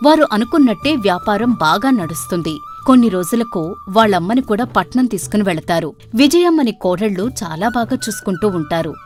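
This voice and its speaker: A woman's voice: mid-range voice, expressive, fairly quick, a little mellow, faint music behind. A woman reading scripted storybook narration as the narrator of a moral tale.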